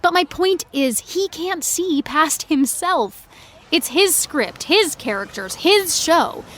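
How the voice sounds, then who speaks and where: A woman playing a frustrated, opinionated high school senior fangirl in a naturalistic audio drama, earnest and articulate. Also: lively intonation, slow pace, fairly bright tone, a little hiss or hum, exaggerated voice. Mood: indignant, passionate. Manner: earnest, articulate, indignant teen speech.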